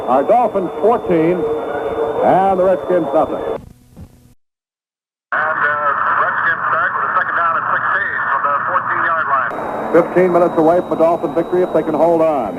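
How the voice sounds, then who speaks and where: A man doing live play-by-play football commentary for radio, describing the action fluently in clipped phrases. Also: high voice. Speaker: play-by-play sports announcer. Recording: vintage radio broadcast, narrow band, heavy noise.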